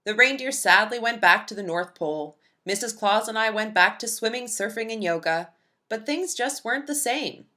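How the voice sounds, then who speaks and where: Animated, bright-voiced woman reading a storybook. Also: a little high, normal speed. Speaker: read-aloud storyteller. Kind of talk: expressive children's picture book read-aloud.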